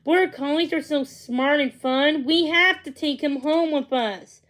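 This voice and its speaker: An eager storybook girl read by a woman, high-pitched and emphatic. She is keen on dogs and sounds sure of what she knows. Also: measured pace, a bit bright. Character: Lizzie, keen, knowledgeable dog-loving girl.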